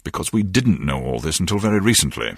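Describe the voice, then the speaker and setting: Calm spy agency superior, low pitch, husky, measured, evasive, video game dialogue. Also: male voice, no room sound, clean studio sound, heavily acted. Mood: matter-of-fact. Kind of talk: scripted video game voice acting.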